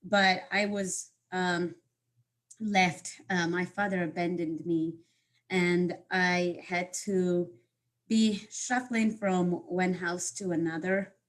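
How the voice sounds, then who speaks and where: A woman, telling her life story as a guest speaker on a video call, pausing often with fillers and restarts. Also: fairly low pitch, little pitch movement. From Morocco. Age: 30s. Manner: halting, many fillers and restarts. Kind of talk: personal life story talk.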